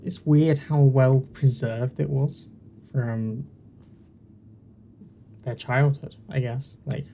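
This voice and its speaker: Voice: mid-range voice, fairly even intonation, takes it slowly, vocal fry, pauses often, mellow, slight background noise. A video game host chatting casually through a playthrough in a rambling, self-deprecating way, on a poor-quality home mic. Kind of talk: casual commentary while playing a game.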